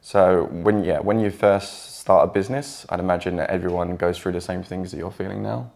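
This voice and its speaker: An English man talking casually with his partner, relaxed and hesitant, with many fillers. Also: low voice, a little fast, vocal fry. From England. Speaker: guest partner. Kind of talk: casual chat.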